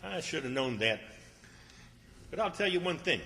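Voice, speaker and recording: A man's voice: on the high side, expressive, moderate pace, sharp tone. A man acting an exasperated, bossy small-town man with a folksy, hectoring manner in an old-time radio comedy. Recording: echoey hall with long reverb.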